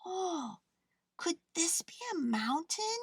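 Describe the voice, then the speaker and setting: A woman voicing a small, innocent male toy bear for a children's storybook, wistful and full of gentle wonder. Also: extremely high pitch, sing-song, takes it slowly, no echo, clean studio sound, pitched above the performer's own voice, heavily acted. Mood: awed wonder. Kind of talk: children's storybook read-aloud.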